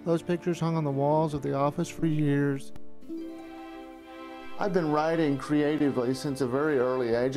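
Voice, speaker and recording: A man in his forties narrates a retrospective documentary in the first person. His voice sits a little high for a man and reads from a script, with music clearly behind it. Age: 40s. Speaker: narrator looking back on his amateur studio.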